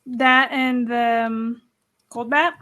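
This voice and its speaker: High-voiced woman, dry offhand humor. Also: fairly even intonation, slow, sharp tone. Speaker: guest artist. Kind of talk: casual chat while drawing.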